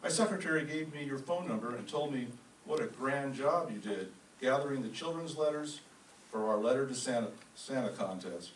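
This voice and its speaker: A man playing a brisk, courteous department store owner in a radio comedy. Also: mid-range voice, animated, natural voice. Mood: eager, friendly. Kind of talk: old-time radio comedy acting. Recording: echoey room, distant mic, faint noise.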